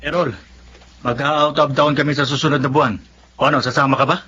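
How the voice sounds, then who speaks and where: A man's voice: medium pitch, steady tone, fairly quick, pushed and bright, highs cut off, lightly acted. An actor playing a joking young male friend in a radio drama. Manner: teasing.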